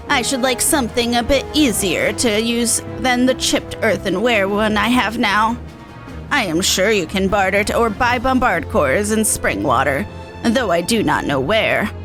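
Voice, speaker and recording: A woman's voice: medium pitch, animated, unhurried, music in the background, close to an everyday voice, low-quality recording. A woman voicing a fretful, genteel elderly widow from a game, reading the dialogue with prim, old-fashioned phrasing. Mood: prim, particular.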